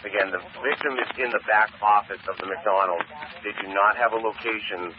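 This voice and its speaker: Low-pitched male dispatcher over noisy police radio. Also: steady tone, brisk, dull audio. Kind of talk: procedural police radio bulletins.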